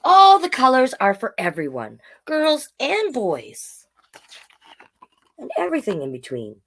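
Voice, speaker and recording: A woman with a fairly high voice reads aloud to children as a storytime host. Her delivery is unhurried and swings widely in pitch. She is playful and encouraging, slipping from the book into chatty asides addressed to her young viewers. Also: wildly swooping pitch, fairly bright tone.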